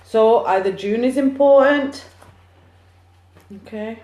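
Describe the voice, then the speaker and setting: A woman as a psychic medium, chatting excitedly through a live-streamed card reading on her phone. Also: a little high, slow. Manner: chatty, excitable, cheering on share counts. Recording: echoey room, phone mic.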